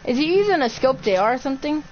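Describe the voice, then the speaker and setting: A man's voice: very high voice, sing-song, quick pace, muffled recording, slight background noise. A teenage boy streaming a shooter game, teasing and trash-talking his friend in casual banter.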